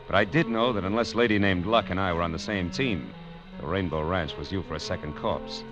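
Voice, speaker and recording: Wry American private eye male actor, deep-pitched, tough slangy 1940s radio delivery, muffled vintage broadcast. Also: brisk, music in the background, close to an everyday voice. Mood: hard-boiled narration. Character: wry, tough male private detective narrating his case. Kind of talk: 1940s radio drama acting, hard-boiled narration. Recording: dull old radio broadcast recording.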